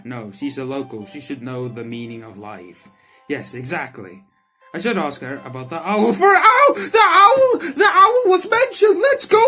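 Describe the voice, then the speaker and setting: A man doing let's play commentary on a visual novel, reading the text aloud and reacting to it excitably. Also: fairly high pitch. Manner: excitable, reacts in sudden loud outbursts. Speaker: streamer playing a visual novel. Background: soft game music.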